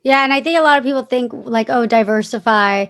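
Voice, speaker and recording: Gravelly woman hosting a podcast, casual and agreeable, lots of likes and you knows. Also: fairly high pitch, brisk, fairly bright tone. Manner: casual, filler-heavy, agreeable. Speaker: podcast host. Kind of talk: podcast interview.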